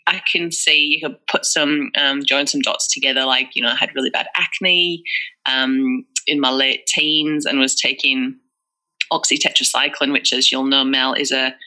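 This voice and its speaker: Guest voice: Northeast English woman, forties, chatty, sharp bright tone. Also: extremely low pitch, fairly even intonation, moderate pace, low-quality recording. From northeast England. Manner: chatty and candid, many fillers and restarts. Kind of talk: casual conversational interview.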